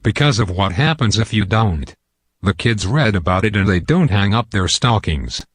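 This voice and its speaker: A man's voice: lowish voice, expressive, normal speed, slightly rough, no room sound. A man playing a shrewd, blunt political adviser and old friend in a radio play. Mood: urgent, persuasive.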